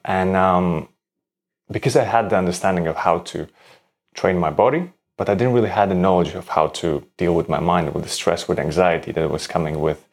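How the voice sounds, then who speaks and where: Deep-voiced calm man from Latvia. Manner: calm, reflective, slightly halting non-native phrasing. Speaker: breathwork teacher, guest. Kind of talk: interview answers.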